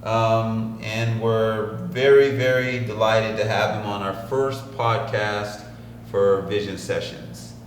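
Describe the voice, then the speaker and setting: Low-voiced podcast co-host, a little off-mic. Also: male voice, steady tone, slow pace, echoey room, far from the mic, slight background noise, low-quality recording. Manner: friendly, asks questions, repeats words. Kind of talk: casual interview hosting.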